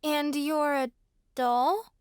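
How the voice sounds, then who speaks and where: A woman's voice: high voice, fairly even intonation, very slow, no echo, clean studio sound. A woman playing a vain, fussy, spoiled little girl in a radio drama, with a petulant, blunt manner. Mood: wary. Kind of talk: horror radio drama acting.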